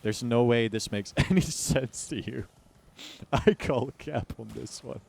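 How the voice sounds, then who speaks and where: A man's voice: mid-range voice, slow, creaky. An American man chatting casually on a game livestream, joking in slang and complaining in mock exaggeration. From United States. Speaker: game streamer. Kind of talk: casual livestream chat while gaming. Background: music behind the voice.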